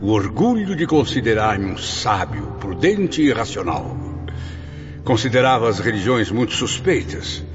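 An actor playing a proud intellectual spirit who broods and reproaches himself, formal and introspective, in a radio drama. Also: male voice, lowish voice, big pitch swings, a little slow. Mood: brooding, regretful. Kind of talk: radio drama dialogue and inner monologue. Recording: long reverb, muffled, loud music behind.

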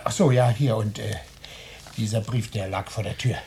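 An actor playing a gossipy male friend with a husky voice, passing on news in a hushed way. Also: on the low side, moderate pace, heavy background noise. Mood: conspiratorial. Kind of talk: 1980s radio play acting.

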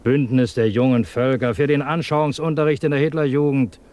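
A man's voice: medium pitch, steady tone, brisk, a little mellow, close to an everyday voice. A man acting a hardened, persuasive communist agent and former officer in a German radio play, with a sardonic, insistent manner. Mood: sardonic, emphatic. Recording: slight background noise.

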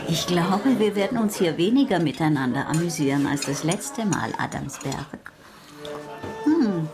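An actress playing a worldly, self-possessed murder suspect in a German radio crime drama, playfully mocking and unruffled. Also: female voice, fairly low pitch, expressive, measured pace. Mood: teasing. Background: music.

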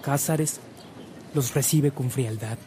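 A man's voice: mid-range voice, slow pace, dark tone, slight background noise. A man reading scripted narration for a radio drama.

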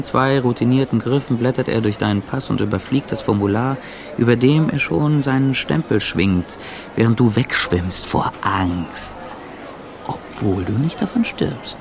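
An actor playing an anxious, sardonic traveller in a radio drama monologue, speaking deliberately and ironically. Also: male voice, on the low side, background noise. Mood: dry, ironic. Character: anxious, sardonic male traveller narrating his own ordeal.

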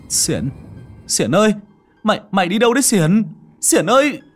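A man's voice: mid-range voice, expressive, slow pace, a bit bright, a little hiss or hum, pitched above the performer's own voice. A man voicing a terrified young village man who stammers in panic. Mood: panicked shouting.

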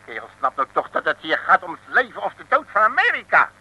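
An actor playing a blustering, streetwise detective with a Dutch accent in an old comic radio serial, exasperated and full of slangy interjections. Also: male voice, on the high side, huge pitch swings, fast talker, sharp tone, slightly rough, exaggerated voice. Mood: exasperated, threatening. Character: blustering, streetwise Dutch male private detective, excitable and indignant. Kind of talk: 1950s-60s comic radio serial acting. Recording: noisy vintage radio recording.

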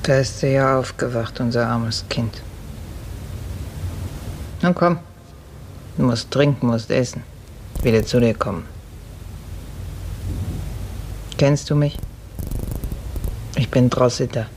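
An actress playing a kindly, resigned serf woman from the stables, soothing and unhurried, in a 1950s German radio drama. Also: extremely low pitch, darkish tone. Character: motherly, weary female stable serf, resigned, kindly comforter. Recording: noisy 1950s radio recording.

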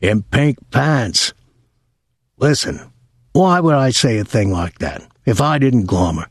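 A man's voice: mid-range voice, animated, slow pace, husky, no room sound, studio quality, voice pushed up, full character voice. A man voicing a drunken, insistent sailor with thick, slurred speech in an audiobook story. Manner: rambling, defensive drunken insistence.

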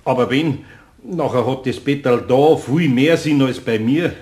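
Man acting a gentle older Bavarian craftsman. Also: medium pitch, unhurried, close to an everyday voice. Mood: mild, apologetic. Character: kindly, mild older Bavarian master craftsman. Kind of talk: German radio play acting.

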